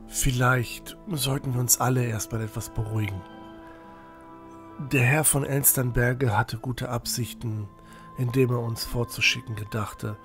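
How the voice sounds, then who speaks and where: A man plays an earnest, pious village boy in his teens. He speaks very slowly and formally, as a peacemaker in a fantasy audio drama, over faint music. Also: medium pitch, lightly acted. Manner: deliberate, formal, conciliatory.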